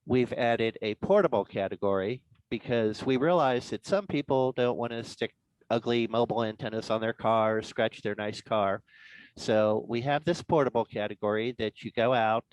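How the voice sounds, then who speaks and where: A low-pitched man explains things informally as a guest speaker at an online club meeting. His delivery is halting and explanatory, and the sound is dry and close. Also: no room sound, poor audio. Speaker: guest speaker presenting contest rules.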